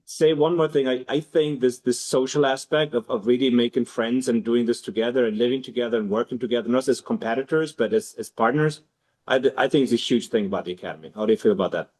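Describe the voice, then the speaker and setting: A middle-aged man speaks at a medium pitch as the host of a webinar. His delivery is casual and unscripted, like someone chatting with his team. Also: fast talker, darkish tone. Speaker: webinar host and academy head.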